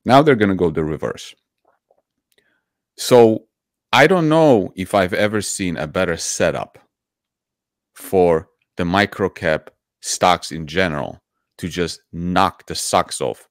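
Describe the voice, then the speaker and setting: Emphatic low-voiced man on a panel. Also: no echo, studio quality. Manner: emphatic, persuasive, repeats for effect. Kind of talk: panel discussion. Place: home room, video call. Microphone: headset.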